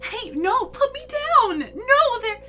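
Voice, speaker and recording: A woman playing a frightened, bewildered girl in a horror audio drama, speaking in a halting, emotional way. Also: very high-pitched, very animated, full character voice. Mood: panicked. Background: music.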